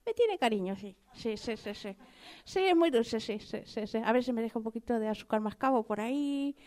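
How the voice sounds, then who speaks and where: Woman acting as a chatty mother cockroach, high voice, Argentine accent, coy and sentimental, repetitive, improvised radio comedy. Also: lively intonation, normal speed, a little mellow, lightly acted. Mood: chatty, fond. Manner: repetitive, coy, hesitant, conversational asides. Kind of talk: improvised comic radio theatre monologue in interview form.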